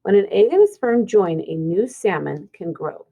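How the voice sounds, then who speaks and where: A woman's voice: mid-range voice, expressive, moderate pace, gravelly, warm tone, rough recording. A woman teacher reading a children's science book aloud, explaining and pointing things out simply.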